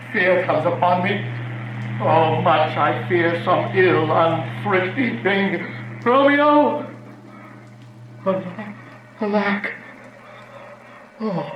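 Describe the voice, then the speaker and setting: Anxious, high-voiced friar, echoing. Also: male voice, fairly bright tone, muffled recording. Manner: theatrical, alarmed, exclamatory. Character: anxious male friar, fearful and sorrowful. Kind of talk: Shakespearean radio drama acting. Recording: echoey space with faint noise.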